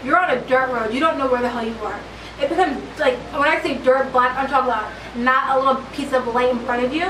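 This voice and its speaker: A young woman telling a story casually, in an animated, slangy way, acting out quotes. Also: high voice, quick pace, a crowd in the background. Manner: animated, slangy, dramatic retelling with acted quotes. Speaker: interviewee. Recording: echoey, distant, noisy street recording.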